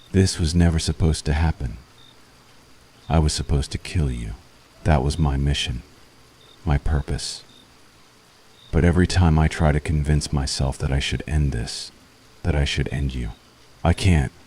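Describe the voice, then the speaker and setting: A man playing a brooding, conflicted witch hunter in an intense, deliberate ASMR roleplay monologue. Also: very deep voice, mellow, a little hiss or hum. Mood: torn, confessional.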